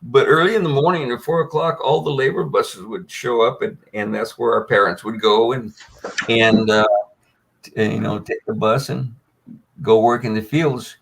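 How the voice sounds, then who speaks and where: A man's voice: mid-range voice, steady tone, normal speed, creaky, slightly rough, low-quality recording. An older man, telling a long personal story as a guest on a panel, with many fillers and restarts. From United States.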